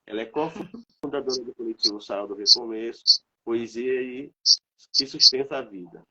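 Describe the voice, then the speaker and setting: A man's voice: mid-range voice, moderate pace, pushed and bright, breathy edge, dull audio. A man, congested from a cold, hosting a live stream in a casual, rambling way with many fillers.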